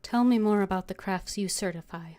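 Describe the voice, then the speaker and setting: A woman's voice: mid-range voice, a little fast, dark tone. A woman game streamer voicing her own character's lines in a fantasy role-playing game.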